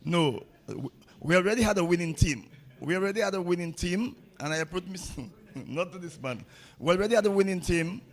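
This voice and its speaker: Man, gravelly, fairly high voice, blunt ceremony speech, repeats himself for emphasis. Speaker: table tennis coach giving a speech.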